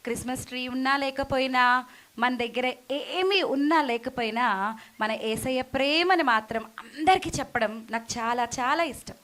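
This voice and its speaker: A woman puts on a childlike puppet character with a very high voice. This little friend is sensible and gentle, asking questions and patiently explaining things in a children's puppet show. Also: moderate pace. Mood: earnest, gentle.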